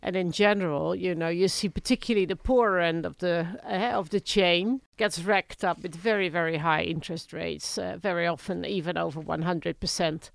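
A woman's voice: on the low side, vocal fry, no echo. A woman co-hosting an interview who introduces the guest and jumps in with pointed questions. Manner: brisk, articulate, jumps in. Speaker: co-host.

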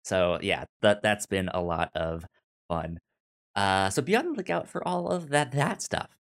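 A podcast host with a halting, stammering delivery, chatting casually about a film. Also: sits low, animated. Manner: halting, stammering with repeated syllables. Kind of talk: casual movie discussion podcast.

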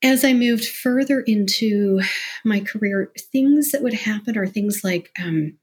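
A woman with a mid-pitched, slightly husky voice. She talks like a guest in a candid interview, in a conversational and discursive way. She is animated when she explains things. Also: unhurried. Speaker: interview guest, business professional.

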